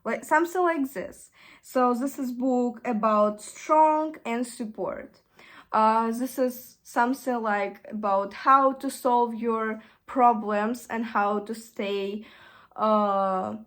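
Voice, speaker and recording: A young woman with a Russian accent, chatting casually in a vlog and often pausing to search for English words. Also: on the high side. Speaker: vlogger. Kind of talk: casual chat.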